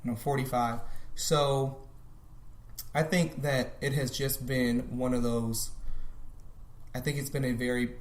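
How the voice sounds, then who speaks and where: Man, 30s, reflective, frequent restarts, average pitch, roomy recording. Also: steady tone, measured pace, pauses often. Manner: thoughtful, restarts often. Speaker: podcast guest. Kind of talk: reflective casual conversation. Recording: roomy echo.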